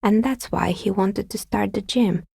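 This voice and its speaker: A calm, wry artisan woman in a video game, a maker of novelty dice. Her voice is breathy and husky with a gravelly creak, captured close and dry. She talks in a measured, understated way. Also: medium pitch, dry recording, exaggerated voice. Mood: reflective, explaining. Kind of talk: video game character dialogue.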